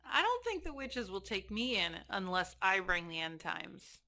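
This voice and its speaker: A woman voicing an excitable, foul-mouthed young demon from hell in a comic-book cartoon, expressive and casual. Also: medium pitch, normal speed. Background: prominent music.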